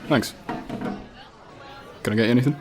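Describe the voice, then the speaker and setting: A man's voice: mid-range voice, normal speed, darkish tone, slight background noise, natural voice. A man with a British accent playing a casual but persistent police detective in a radio play, questioning politely and insistently. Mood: breezy, probing. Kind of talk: student radio drama acting. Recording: cafe crowd chatter behind.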